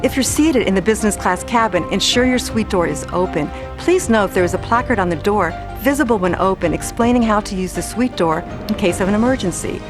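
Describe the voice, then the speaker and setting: A woman's voice: medium pitch, expressive, a little fast, hardly any pauses. A woman narrating scripted airline safety instructions. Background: music.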